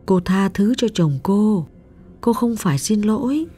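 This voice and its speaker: A man's voice: high voice, animated, a little slow, dark tone, slightly rough, faint music behind, pitched above the performer's own voice, heavily acted. A man voicing a female spirit medium who urges and coaxes firmly, in a raised voice. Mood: urgent coaxing. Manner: urgent, insistent urging. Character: female medium, firm, coaxing and insistent. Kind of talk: audiobook narration.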